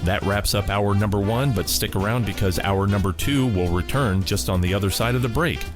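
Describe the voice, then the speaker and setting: Male radio host, low register, scripted introductions over a clear music bed. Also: fairly quick.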